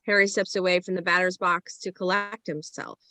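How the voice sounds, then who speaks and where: A woman's voice: fairly low pitch, level tone, a little fast, sharp tone, no echo. A woman reading screenplay stage directions as scripted present-tense narration.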